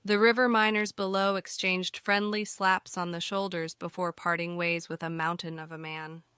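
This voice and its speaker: Casting: female fantasy audiobook narrator, bright, extremely clear voice, scripted storytelling. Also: on the low side, little pitch movement, moderate pace, no room sound, clean studio sound.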